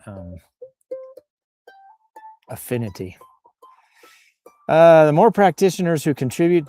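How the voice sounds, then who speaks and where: A man's voice: medium pitch, dark tone. A man hosting in a casual, improvised comic style, playfully reading posts aloud and rambling off into asides. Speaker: host reading and riffing on social media posts.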